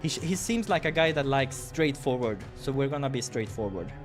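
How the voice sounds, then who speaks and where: A man's voice: medium pitch, lively intonation, a little fast. A man hosting a visual novel playthrough, reading the story aloud with playful, theatrical reactions. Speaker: gaming host narrating a playthrough. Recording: music behind the voice.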